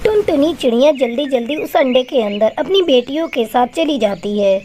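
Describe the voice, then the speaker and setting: A woman's voice: high voice, expressive, normal speed, warm tone. A woman reading scripted narration for a children's story. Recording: clearly noisy background.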